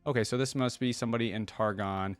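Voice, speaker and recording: A man hosting a reaction video, reading text aloud and thinking out loud in casual asides between passages. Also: mid-range voice, fairly even intonation, quick pace, clear, resonant tone, soft background music.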